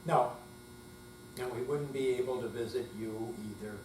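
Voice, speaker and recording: A man's voice: medium pitch, steady tone, normal speed, close to an everyday voice. Man acting a folksy old grandpa, echoey and distant. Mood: earnest, hesitant. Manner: plain-spoken, folksy phrasing. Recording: distant, echoey room with faint mains hum.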